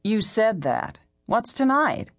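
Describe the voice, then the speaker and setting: A woman voicing a breezy, blunt woman friend in an audiobook story, with a flippant, brisk manner. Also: mid-range voice, big pitch swings, creaky, no room sound, studio quality, pitched above the performer's own voice, full character voice. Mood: breezy, teasing. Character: breezy, blunt, twice-married female friend.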